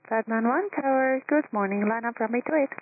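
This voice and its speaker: A woman working as an airport tower controller, giving clipped, courteous clearances in standard phraseology over the radio. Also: on the high side. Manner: brisk, procedural, courteous. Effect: radio transmission. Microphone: air traffic radio. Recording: tinny narrowband radio with faint static.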